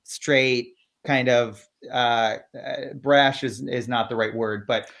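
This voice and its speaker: Host interviewing a guest over a video call, chatty, halting, agreeable. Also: mid-range voice, fairly even intonation, fairly bright tone. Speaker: tour company host. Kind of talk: casual chat.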